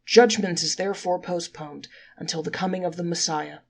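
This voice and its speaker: A woman's voice: fairly low pitch, steady tone, moderate pace, vocal fry, a little mellow. A woman voicing a solemn heavenly judge in a folktale, pronouncing decrees in a formal way. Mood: emphatic. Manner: formal, declaratory.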